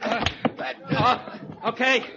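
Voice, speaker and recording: A man's voice: extremely high pitch, level tone, takes it slowly. An actor playing a cornered gunman crook in a 1940s radio crime drama. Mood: cowed, sullen. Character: cornered male crook with a gun, defiant then cowed. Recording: dull old radio recording, clear noise.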